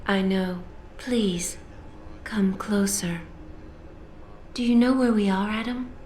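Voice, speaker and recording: A woman voicing an enigmatic artificial-intelligence news anchor, measured, formal and solemn. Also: mid-range voice, a little mellow, slight background noise, natural voice. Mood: solemn composure. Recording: echoey, faint music behind.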